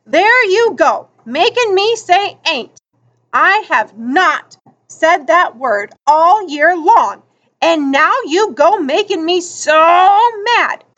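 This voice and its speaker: A woman voicing a bossy, exasperated, sharp-tongued girl in a storybook reading, scolding with emphatic, colloquial phrasing. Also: very high-pitched, sing-song, slow, pitched above the performer's own voice, full character voice. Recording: dull lo-fi audio.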